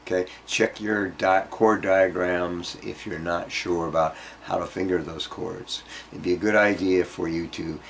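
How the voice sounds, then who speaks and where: A man giving an informal guitar lesson, explaining things directly to the learner in a halting, conversational way. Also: deep voice, fairly even intonation, vocal fry, a bit bright, slight background noise. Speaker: guitar teacher. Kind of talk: informal instructional music lesson to camera.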